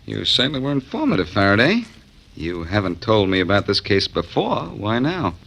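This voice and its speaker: A man's voice: on the low side, wildly swooping pitch, moderate pace, vocal fry, fairly bright tone, breathy edge, exaggerated voice. A man playing a confident, glib, wry amateur detective in 1940s radio acting style. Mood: probing, wry. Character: wisecracking confident male amateur sleuth. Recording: vintage 1940s radio recording.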